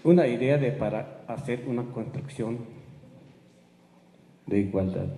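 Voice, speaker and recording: A man whose first language is Tzotzil, giving a halting public address in his second language. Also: mid-range voice, warm tone, reverberant, in a large hall, slight background noise, low-quality recording. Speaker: community speaker.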